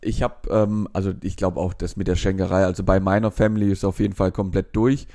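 A relaxed man steering a casual conversation, talking loosely and swearing now and then. His voice sits a little low. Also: quick pace. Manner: relaxed, casual, swears lightly, leads the talk. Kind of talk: casual chat.

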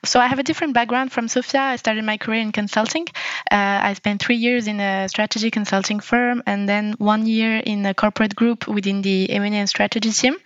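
A woman's voice: mid-range voice, fairly even intonation, brisk, clear, resonant tone, no echo, muffled recording. A woman from Morocco, a startup co-founder, introducing herself casually on a podcast with frequent fillers.

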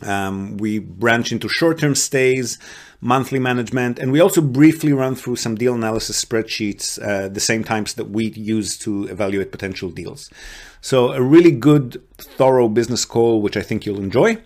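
A man at a middle pitch walks through property loans and purchase steps in a relaxed, explanatory way. He often pauses on "um" and "uh" and restarts his sentences as he goes. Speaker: real estate advisor and podcast host. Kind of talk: business call recording, casual advisory.

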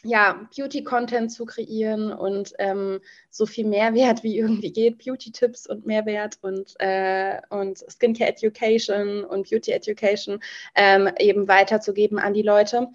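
A woman's voice: medium pitch, flat delivery, a little slow, muffled recording. A woman in her early twenties chatting casually as a podcast guest, bubbly and slangy, with many hesitant fillers. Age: early 20s. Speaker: podcast guest, beautician and entrepreneur. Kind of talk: casual interview chat.

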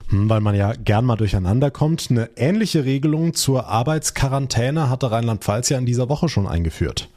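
A man's voice: medium pitch, animated, normal speed, few pauses, darkish tone. A man presenting a radio news magazine and leading into conversations with colleagues.

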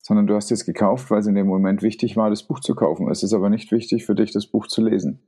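A man hosting a podcast interview in a relaxed, thoughtful manner, asking follow-up questions. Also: on the low side, fast talker. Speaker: podcast host. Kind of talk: casual interview.

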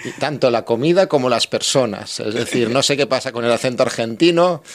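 Catalan host interviewing, stammering restarts. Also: male voice, medium pitch, brisk. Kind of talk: casual interview, switching between Catalan and Spanish.

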